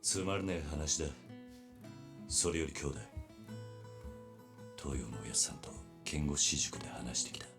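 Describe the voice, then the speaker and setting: An actor playing a stoic, weary swordsman hero in a period game drama, terse, gruff and understated. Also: a bass voice, lively intonation, quick pace, pauses often, over music.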